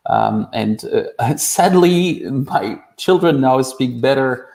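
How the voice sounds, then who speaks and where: A man's voice: mid-range voice, expressive, takes it slowly, gravelly, darkish tone. A man in his forties from France, with a French accent, speaking expressively as an interview guest and telling personal anecdotes in casual chat. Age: 40s. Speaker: interview guest, boardgame publisher.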